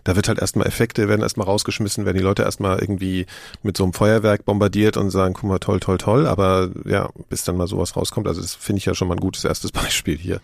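Really low guy on a podcast panel, talks fast, chatty and questioning, dry sound. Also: little pitch movement, gravelly, no echo. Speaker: discussion panelist. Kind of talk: casual podcast discussion.

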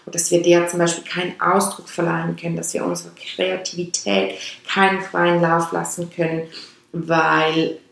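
A woman's voice: lowish voice, little pitch movement, normal speed, creaky. Swiss woman explaining chakras in a very echoey room. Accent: Swiss German. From Switzerland. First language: Swiss German. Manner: rambling, with restarts and digressions. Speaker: chakra teacher and podcast host. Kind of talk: casual spoken explanation.

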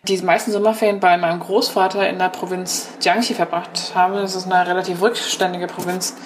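A woman's voice: on the low side, flat delivery, brisk, noisy background. A woman in her thirties who grew up in Germany, a correspondent thoughtfully recounting personal memories over the phone in an interview. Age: 30s. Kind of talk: reflective interview answers. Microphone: telephone.

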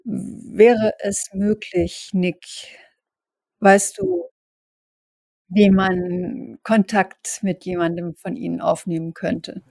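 A woman playing a shrewd, calm vampire in an audio drama, speaking in a measured, thoughtful way. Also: on the low side, vocal fry, no room sound, exaggerated voice, low-quality recording. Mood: thoughtful, polite. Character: shrewd, calm female vampire with criminal contacts. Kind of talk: improvised role-play audio drama dialogue.

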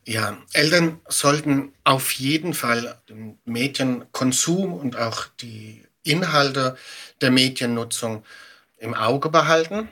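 A middle-aged man giving measured, practical explanations as an expert guest in an interview. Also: mid-range voice, sharp tone. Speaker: media education expert, podcast guest.

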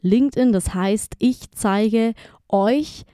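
A woman's voice: on the high side, slow pace, dark tone, no echo, clean studio sound. A woman hosting a podcast solo, slightly stuffed up from a cold, talking informally straight to her listeners with English words mixed in. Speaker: podcast host. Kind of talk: casual solo podcast talk with tips.

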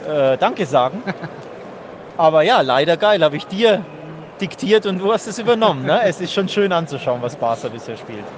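High-voiced man chatting over very loud noise. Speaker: football podcast co-host. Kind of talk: casual live match chat. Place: outdoors in a football stadium. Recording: very noisy stadium background.

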